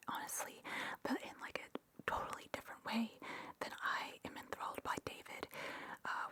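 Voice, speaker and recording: A woman whispering in a chatty, opinionated ASMR ramble, breathy and geekily enthusiastic. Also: a little high. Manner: whispers throughout, breathy and unvoiced. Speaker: ASMR creator and host.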